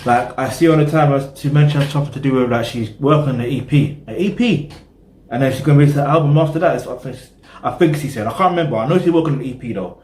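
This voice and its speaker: A man's voice: mid-range voice, quick pace, a little mellow. Chatty British man in an echoey room. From Britain. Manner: chatty and rambling, full of "you know what I mean". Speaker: music reaction vlogger. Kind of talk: casual reaction commentary.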